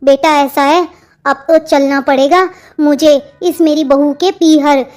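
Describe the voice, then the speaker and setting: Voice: sits high, fairly even intonation, a little slow, a little mellow. A performer voicing a doting, worried elderly mother-in-law in a family cartoon, in a motherly, pleading manner. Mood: worried, resolute. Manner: pleading, motherly, repetitive endearments. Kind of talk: Hindi family comedy cartoon dialogue.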